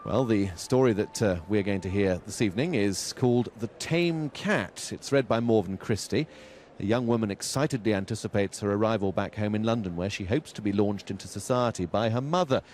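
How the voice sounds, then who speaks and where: Lowish-voiced man introducing a radio story. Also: animated, fairly quick, darkish tone, a little hiss or hum. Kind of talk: scripted broadcast introduction.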